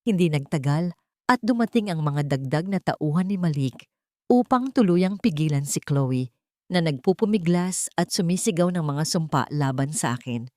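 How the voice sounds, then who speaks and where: A woman's voice: low-pitched, lively intonation, moderate pace, darkish tone, no room sound, studio quality. A woman reading scripted, dramatic narration as a storyteller.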